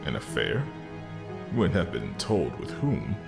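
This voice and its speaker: A man playing a gruff middle-aged detective and father in an audio drama, with a terse, dry delivery. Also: deep voice, wildly swooping pitch, measured pace, over music, full character voice. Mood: probing.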